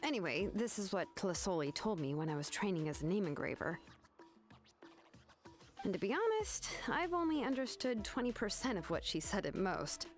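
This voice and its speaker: An actress voicing a laid-back young craftswoman who explains things in a relaxed, matter-of-fact way. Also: mid-range voice, normal speed, soft background music, close to an everyday voice. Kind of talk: video game voice acting.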